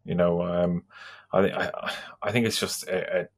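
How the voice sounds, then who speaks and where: A man in casual conversation, calmly agreeing and adding thoughts. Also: low voice, unhurried. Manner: calm agreeing listener. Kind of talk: casual chat.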